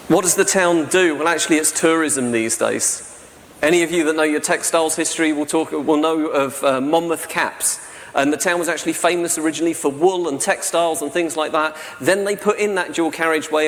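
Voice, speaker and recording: Chatty man in a hall. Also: fairly high pitch. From southern England. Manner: chatty, digressive, keeps checking in with "right?". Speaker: conference speaker. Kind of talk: live talk to an audience. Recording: hall reverb with faint ambient noise.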